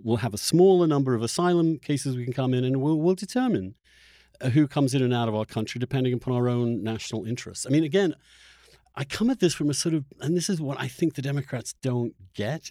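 A man with a medium-pitched, very lively voice hosts an interview in a dry, close recording. He is opinionated and emphatic, pressing his argument through pointed questions. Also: no room sound. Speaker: podcast host.

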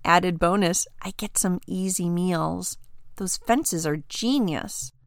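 A woman's voice: fairly low pitch, slow, dry recording, studio quality. Woman voicing an ancient, weary, imperious female dragon. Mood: scheming, rueful. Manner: archaic, haughty, wry phrasing.

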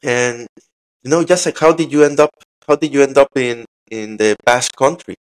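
Mid-pitched man hosting, slightly halting. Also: low-quality recording. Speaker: interview host. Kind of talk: casual conversational interview.

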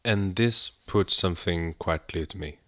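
Low-voiced man co-hosting in a dry room. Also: measured pace, fairly bright tone, no echo. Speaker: podcast co-host. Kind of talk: casual podcast discussion.

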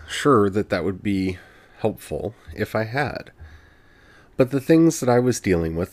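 A podcast host with a very deep voice, speaking earnestly and from the heart in a reflective solo monologue, often pausing on "you know". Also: darkish tone, no room sound.

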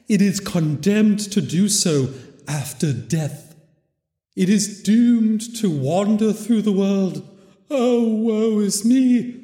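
A man's voice: high-pitched, warm tone, voice pushed up. A man voicing the tormented, mournful ghost of a dead miser in a storybook reading, solemn, wailing and drawn out. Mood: solemn, ominous. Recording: very echoey, long reverb.

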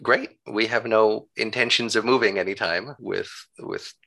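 A man's voice: on the low side, fairly even intonation, moderate pace, gravelly, bright, forceful tone. A genial, good-humored man in his thirties, chatting casually as an interview guest. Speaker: interview guest, town clerk.

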